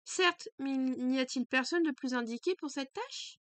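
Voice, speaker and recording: A woman's voice: high-pitched, normal speed, no room sound, lightly acted. Woman reading as a prim, strict schoolgirl. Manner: prim, precise, formal diction.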